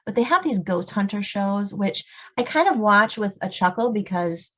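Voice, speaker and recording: A woman's voice: medium pitch, animated, normal speed, fairly bright tone. A woman speaking as a guest author in a casual interview, explaining things in a storytelling way with examples. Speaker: guest novelist.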